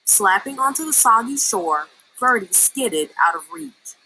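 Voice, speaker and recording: A woman reads a storybook aloud with expression. Her voice is bright and her pitch moves in a lively way. Faint noise sits behind it. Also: unhurried, low-quality recording. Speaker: story reader.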